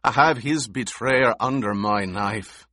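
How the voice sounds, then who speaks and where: A man's voice: mid-range voice, takes it slowly, no room sound, clean studio sound. A man voicing a snarling, furious warrior. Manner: snarling, shouting threats. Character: furious loyal male warrior holding a hostage. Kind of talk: audiobook character voice.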